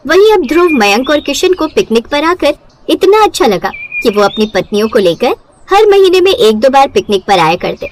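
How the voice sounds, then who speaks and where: A woman's voice: sits high, sing-song, normal speed, slight background noise, low-quality recording. A woman narrating a scripted bedtime story as its storyteller.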